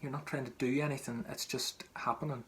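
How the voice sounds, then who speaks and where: A man's voice: mid-range voice, fairly even intonation, a little fast, a bit bright. A man with a Northern Irish accent from Tyrone gives an informal, conversational lesson as a singing teacher, explaining as he goes. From County Tyrone, Northern Ireland.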